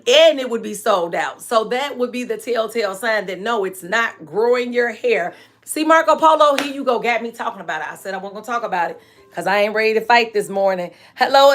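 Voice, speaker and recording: A woman in her forties hosting a live hair care stream, chatting frankly with viewers and laughing often, her voice a bit hoarse and dry. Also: fairly high pitch, a bit bright. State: hoarse and dry voice from staying up late. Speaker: natural hair care host and product maker. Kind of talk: live-stream chat answering viewer comments.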